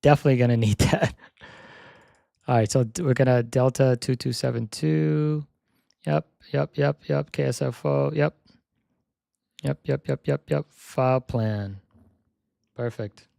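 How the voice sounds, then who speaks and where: A young man chats casually on a live stream while setting up a flight, thinking aloud as he goes. His voice is slightly hoarse with a gravelly edge, and the mid-pitched delivery sounds close and dry, with no room around it. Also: measured pace, long pauses, mellow, no room sound. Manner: repeats "yep" while checking items.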